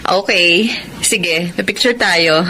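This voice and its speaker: A woman acting a kind, hesitant young woman in a Filipino radio drama, speaking gently with a Filipino accent. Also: lowish voice, extremely slow, noisy background. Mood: gentle, friendly.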